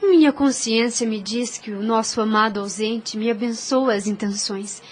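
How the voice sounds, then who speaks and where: Casting: woman playing a gentle, resolute, saintly young woman, high voice, earnest formal delivery, audio drama. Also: moderate pace, lightly acted. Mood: calm, resolute. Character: devout, gentle, self-sacrificing young woman, quietly firm.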